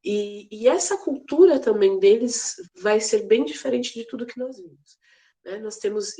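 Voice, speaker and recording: Chatty teacher woman with gravelly fry. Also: fairly high pitch, warm tone. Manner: chatty, many fillers and restarts, playful asides. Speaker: geography teacher. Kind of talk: casual online class lecture.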